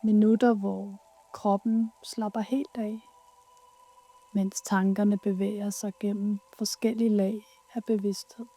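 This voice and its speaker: Very mellow, soft woman guiding relaxation. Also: mid-range voice, little pitch movement. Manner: soothing, soft, unhurried with pauses. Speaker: yoga nidra guide. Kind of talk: guided meditation, relaxation.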